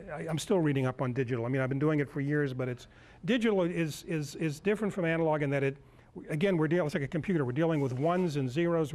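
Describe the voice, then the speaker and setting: A man in his sixties giving an informal live demonstration as a lecturer, halting as he searches for words. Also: fairly high pitch, darkish tone. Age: 60s. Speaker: lecturer at a club workshop. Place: indoor meeting room, speaking to a group.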